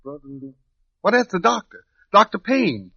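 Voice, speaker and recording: A man's voice: high voice, very animated, normal speed, creaky, sharp tone, dry recording, exaggerated voice. An actor in an old-time radio drama playing a humble, nervous country man, hesitant, stammering and deferential. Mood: hesitant, eager. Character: humble, nervous simple country man, polite male prisoner. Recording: muffled vintage radio recording.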